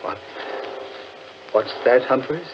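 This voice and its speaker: A man's voice: mid-range voice, animated, takes it slowly, background noise. An actor playing a stubborn, rattled man who wants rational explanations, earnest and melodramatic in 1940s radio horror style. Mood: tense resolve. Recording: muffled 1940s radio recording with music.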